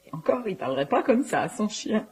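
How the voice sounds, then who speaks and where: A husky, reproachful mother. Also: mid-range voice, sing-song, brisk. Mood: reproachful, worried. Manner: sardonic, complaining, colloquial. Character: reproachful, fretful, easily offended mother of a teenager. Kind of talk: naturalistic French radio drama acting.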